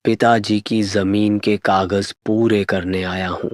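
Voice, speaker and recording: A man's voice: lowish voice, level tone, slow pace, no echo, studio quality. A man playing a sceptical, questioning young man back in his home village in a horror story. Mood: questioning, resolute. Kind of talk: dramatized horror story dialogue.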